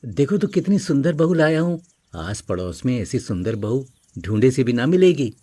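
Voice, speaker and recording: A man's voice: medium pitch, big pitch swings, moderate pace, warm tone, husky, no echo. A man voicing an eager young village son coaxing his mother in a cartoon story. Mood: proud, pleading.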